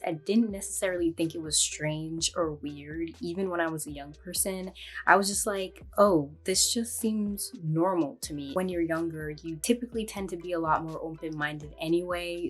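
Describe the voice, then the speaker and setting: Husky low-voiced woman. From Barbados. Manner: eager, reflective storytelling. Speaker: vlogger. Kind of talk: casual vlog monologue.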